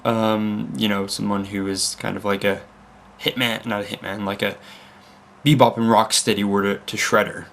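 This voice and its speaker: A man's voice: fairly low pitch, flat delivery, moderate pace, gravelly, a bit bright. A young man with a mixed American and Leeds accent, chatting to a webcam at his computer. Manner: rambling, self-interrupting, with fillers. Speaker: vlogger. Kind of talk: casual chat to camera. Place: home room at a computer desk.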